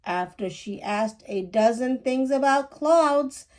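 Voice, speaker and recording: A woman reading a rhyming children's picture book aloud with deliberate, animated phrasing. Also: high voice.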